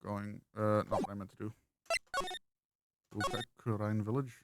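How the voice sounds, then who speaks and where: A woman playing through a video game, talking casually and muttering to herself in a low-key way. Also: little pitch movement, normal speed, loud music behind the voice. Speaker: game streamer.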